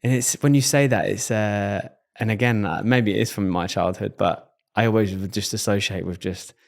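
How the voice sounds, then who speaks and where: A man's voice: fairly low pitch, steady tone, moderate pace, creaky. A man hosting a podcast in a casual interview, conversational and agreeable, often repeating words and restarting sentences. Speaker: podcast host.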